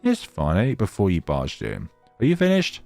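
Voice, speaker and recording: A man's voice: fairly low pitch, wildly swooping pitch, moderate pace, gravelly, a little mellow, no echo, soft background music, full character voice. A man voicing a polite, reserved young woman in a visual novel, courteous and matter-of-fact. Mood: brisk.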